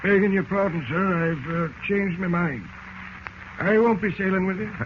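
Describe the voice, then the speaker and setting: Deferential, wary seaman, played by a man, high voice, noisy vintage radio recording. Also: moderate pace, highs cut off, natural voice. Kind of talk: 1940s radio drama acting.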